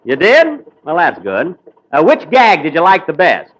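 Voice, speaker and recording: A man hosting a 1940s radio comedy show speaks in a high, bright voice. His delivery is vain and easily exasperated, and his pitch swings widely. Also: huge pitch swings, unhurried, poor audio.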